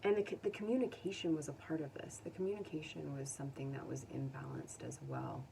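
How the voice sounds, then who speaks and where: A woman giving a casual tarot reading to viewers, speaking gently and consolingly in an informal way. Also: low voice, fast, vocal fry. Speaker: tarot reader. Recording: distant mic, faint background noise.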